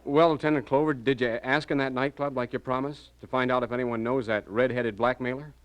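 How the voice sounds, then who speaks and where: A man's voice: medium pitch, fairly even intonation, a little fast, lightly acted. Nervous, stammering husband played by a man. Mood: anxious. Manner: stammering, eager, over-hearty. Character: nervous married man from Arkansas, hiding trouble. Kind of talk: mid-century radio crime drama acting.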